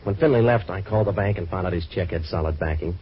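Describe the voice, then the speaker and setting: An actor playing a sardonic, wisecracking American private eye in a deadpan, clipped 1940s radio detective style, on an old recording. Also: male voice, sits low, fast, husky, lo-fi sound. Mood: wry, matter-of-fact. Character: wisecracking hard-boiled male waterfront private eye.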